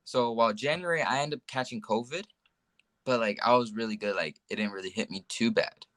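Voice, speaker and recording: A man's voice: mid-range voice, a little fast, a bit bright, no room sound. A teenage boy guest from the United States telling personal stories casually in a rambling way, saying "like" very often. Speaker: guest, young social media influencer.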